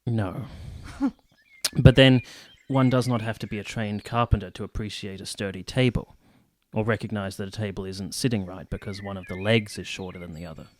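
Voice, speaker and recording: Low-voiced, courteous army captain played by an actor. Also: male voice, fairly even intonation, natural voice. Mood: sincere. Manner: measured, formal, polite. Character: earnest, courteous, idealistic young army captain of 1870s Italy. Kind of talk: period radio drama acting and narration.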